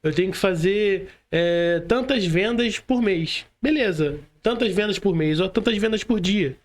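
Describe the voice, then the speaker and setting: A man with a notably high voice and a Brazilian accent hosts a podcast. He talks casually and motivationally, explaining step by step and often tossing in little check-in questions. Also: unhurried. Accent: Brazilian Portuguese. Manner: explanatory, frequent check-in tags. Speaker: podcast host.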